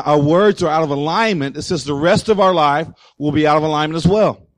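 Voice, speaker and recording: A male pastor preaching loudly and emphatically, his voice a little hoarse. He sits slightly high for a man and keeps calling out questions to the congregation. The sound is close and dry, with little room reflection. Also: dry recording. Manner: loud, emphatic preaching with audience call-outs. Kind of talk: sermon. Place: church.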